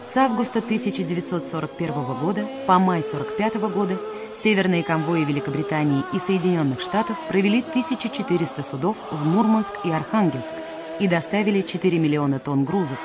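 A woman's voice: lowish voice, expressive, fairly quick. A woman reading scripted narration to introduce a radio play. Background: music.

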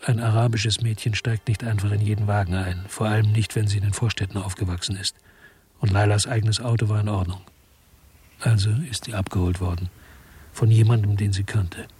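An actor playing a disillusioned, guilt-ridden policeman who narrates a radio drama in a brooding, laconic way with a husky voice. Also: on the low side, flat delivery, a little fast, darkish tone. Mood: brooding regret. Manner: brooding, understated. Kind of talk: radio drama first-person narration and dialogue.

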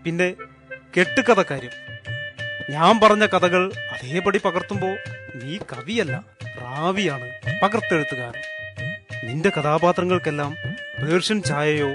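An actor playing an authoritative, encouraging mentor in a radio drama. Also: male voice, fairly high pitch, animated, unhurried, long pauses. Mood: firm. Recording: radio drama with music underneath.

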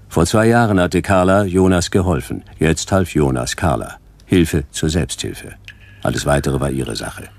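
A man playing a wry, laconic detective with a gravelly voice and a dry, clipped, deadpan delivery in a noir radio drama. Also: rumbling low, full character voice. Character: hard-boiled male detective, wry and laconic. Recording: music behind the voice.

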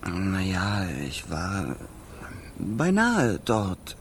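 An actor in a children's radio play voicing the Wind as a frozen, weary, timid wanderer, halting and plaintive with sighing, breathy speech. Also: male voice, sits low, wildly swooping pitch, full character voice. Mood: sheepish. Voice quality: breathy, husky.

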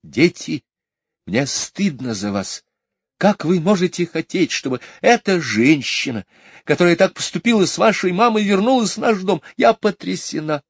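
A man voicing a fussy, indignant mother in a storybook reading, in a high, shrill falsetto. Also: animated, normal speed, husky, dry recording, clean studio sound, full character voice. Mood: scolding. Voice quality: high falsetto, pitch far above his own. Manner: exclaiming, offended, scolding.

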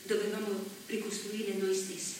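An actress playing a woman politician recalling the postwar years in a slow, measured, solemn monologue on a stage. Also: mid-range voice, monotone, close to an everyday voice. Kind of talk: theatrical monologue. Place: theatre stage. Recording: echoey stage from far off, faint hiss.

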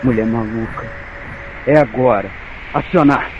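A man's voice: medium pitch, a little slow, highs cut off, close to an everyday voice. A man with a Brazilian accent playing a cynical, nervous, foul-mouthed soldier who narrates an audio drama in the first person. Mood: tense. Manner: sardonic, colloquial, swearing. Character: cynical, nervous, foul-mouthed male soldier piloting giant war machine. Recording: clearly noisy, engine and outdoor ambience.

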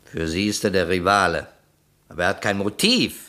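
An actor playing a shrewd, skeptical police detective in a German crime radio play, questioning in a dry, probing, sardonic way. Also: male voice, low voice, moderate pace, pushed and bright. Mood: insistent.

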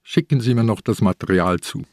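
Terse old inspector, low voice. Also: male voice, brisk, no echo, clean studio sound. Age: older. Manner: terse, probing. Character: older male police inspector, stubborn, probing, suspicious. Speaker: radio drama actor. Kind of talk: radio play dialogue.

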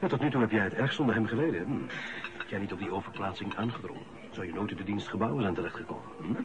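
A middle-aged Flemish office worker, played by a male actor in a radio drama, speaks in a fairly low voice. He sounds embittered and sarcastic, always ready for a fight. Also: rapid-fire, close to an everyday voice.

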